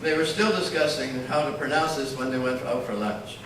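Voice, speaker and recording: A man's voice: mid-range voice, brisk. A man emcees a conference, spinning a joke before introducing a speaker. His mid-range voice rings through a very echoey hall, picked up from far off over a noisy background. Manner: storytelling joker, delivers a punchline. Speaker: master of ceremonies.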